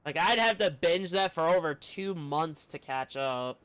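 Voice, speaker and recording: A man chatting casually on a game livestream, rambling and halting as he talks. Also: a little high, expressive, a bit bright, smooth, dry recording. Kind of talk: casual chat while streaming a game. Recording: game music behind the voice. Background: video game music.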